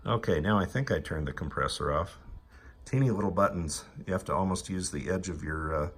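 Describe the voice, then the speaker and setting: Very deep-voiced man chatting technically. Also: brisk, husky. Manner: hesitant, technical, explanatory. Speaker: amateur radio operator, channel host. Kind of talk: casual ham radio chat.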